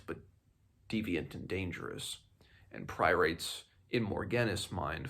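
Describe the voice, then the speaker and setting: A man with a low voice and plenty of vocal fry hosts a book read-along. His commentary is casual and conversational, often halting as he works out his thoughts aloud. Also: fairly bright tone.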